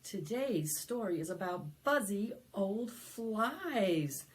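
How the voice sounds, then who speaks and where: A woman presenting storytime for young children, singing and reading aloud in an animated, sing-song way that coaxes them to join in. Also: mid-range voice, slow pace. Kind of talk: interactive preschool storytime, singing and read-aloud.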